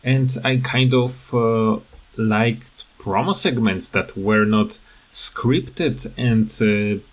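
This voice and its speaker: Slow, halting man in a casual rant. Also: lowish voice, steady tone. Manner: halting, hesitant, repeats words. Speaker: video creator giving commentary.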